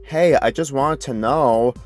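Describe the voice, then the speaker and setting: A man's voice: medium pitch, a little slow, soft background music, voice pushed up, close to an everyday voice. A man voicing a polite, questioning young woman who works at a food stand, in a story reading. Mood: puzzled. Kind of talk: character dialogue in a story reading.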